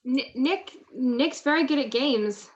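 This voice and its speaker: Woman acting a high-voiced detective's wife. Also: slow, muffled recording. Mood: anxious. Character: detective's glamorous, teasing, anxious wife. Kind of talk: 1940s radio crime drama acting.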